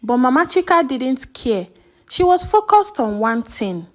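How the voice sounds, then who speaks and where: A female storyteller reads a folktale from a script. Her voice is high, and her pitch moves up and down in a very lively way. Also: measured pace.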